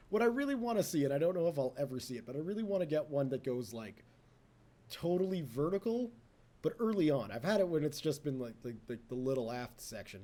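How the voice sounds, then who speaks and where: A man's voice: fairly high pitch, warm tone. A young man giving casual, rambling commentary while playing a game, often talking to the game and poking fun at himself. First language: English. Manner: rambling, self-mocking, talks to the game. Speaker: let's play host.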